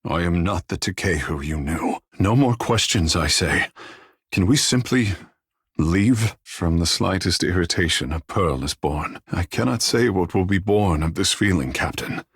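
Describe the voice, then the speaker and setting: A proud young sea godling, part fish, for a fantasy game. The voice is low and husky, with pitch that swoops freely through poetic, theatrical phrasing. It sits close and dry. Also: male voice, animated, measured pace, fairly bright tone, dry recording, full character voice. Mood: wounded, bitter. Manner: theatrical, lyrical, flowery turns of phrase. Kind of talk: video game voice acting.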